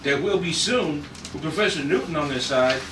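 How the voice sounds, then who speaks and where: A man's voice: fairly high pitch, lively intonation, normal speed, lightly acted. A man reading an eager, outspoken space ranger sidekick at a script table read, with a brash, informal manner. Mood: resigned. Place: room at a group table read. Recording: echoey noisy room, far from mic.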